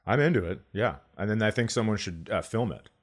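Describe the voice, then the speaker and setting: A man's voice: on the low side, animated, a little fast. A middle-aged man, a comedian, chatting casually as a podcast co-host with dry, wry humour.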